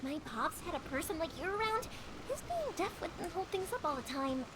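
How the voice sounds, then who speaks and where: High-pitched, animated, chatty fireworks girl. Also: fairly quick, background noise. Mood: apologetic, eager. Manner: talkative, rambling, animated. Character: chatty, cheerful young woman who makes fireworks, warm-hearted. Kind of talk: English anime game voice acting. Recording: loud music bed behind the voice.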